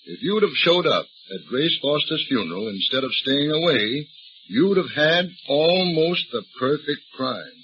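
An actor playing a shrewd, folksy older police detective, drawling and calmly needling a suspect in a 1930s radio drama. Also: male voice, fairly high pitch, a little slow, breathy edge, heavily acted. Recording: dull old radio recording with faint hiss.

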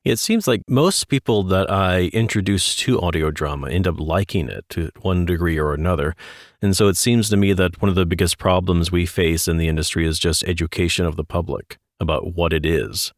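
A man's voice: low-pitched, brisk, no room sound, studio quality. A man hosting a podcast, talking in a casual, conversational interview style.

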